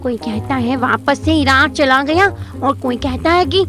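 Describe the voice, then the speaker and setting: A woman's voice: sits high, unhurried, pushed and bright, faint music behind. A woman playing a gossipy, suspicious middle-aged mother in an audio drama, colloquial and scolding. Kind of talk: Hindi audio drama acting.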